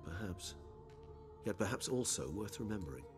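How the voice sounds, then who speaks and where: An actor playing a refined, erudite gentleman in a video game, speaking in a formal, measured and precise way. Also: lowish voice, very animated, over music. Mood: thoughtful. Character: refined, calm, erudite male gentleman and loyal friend. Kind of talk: video game cutscene acting.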